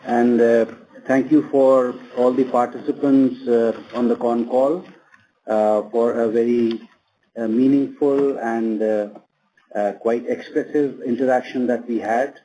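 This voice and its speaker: Slow, deliberate male executive. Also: mid-range voice, fairly even intonation, warm tone. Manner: deliberate, hesitant. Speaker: senior bank executive. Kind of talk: formal closing remarks on an earnings call. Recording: faintly noisy conference call.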